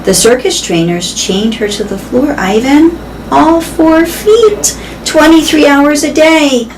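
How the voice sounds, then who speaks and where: A woman reading a storybook as a tired, gentle, motherly old female elephant. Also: high voice, animated, slow pace, pitched above the performer's own voice, exaggerated voice. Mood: sad, concerned. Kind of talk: storybook read-aloud. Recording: background noise.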